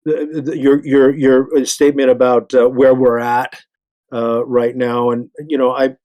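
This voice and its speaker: A man's voice: mid-range voice, level tone, measured pace, warm tone, highs cut off. A middle-aged or older man speaking as a panelist, with stammering, hesitant starts and repeated words. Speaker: panelist, veteran artist.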